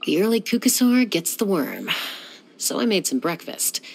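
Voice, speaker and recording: A cocky, husky young gunslinger. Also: female voice, lowish voice, heavily acted. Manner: cool, self-assured, dryly teasing. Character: confident young woman peacemaker gunslinger who flies. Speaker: game character. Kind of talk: video game voice lines.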